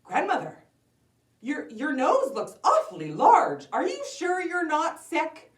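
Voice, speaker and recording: A woman's voice: high voice, wildly swooping pitch, takes it slowly, pitched above the performer's own voice, exaggerated voice. A woman voicing a polite, innocent, hesitant little girl in a children's storybook tale. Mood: hesitant, curious. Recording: roomy echo, distant from microphone.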